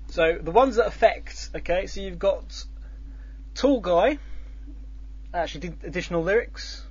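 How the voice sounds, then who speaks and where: A man's voice: mid-range voice, slight background noise. A man hosting a casual chat, explaining a contest's scoring in a rambling way with many fillers and restarts. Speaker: host. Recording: dull lo-fi sound.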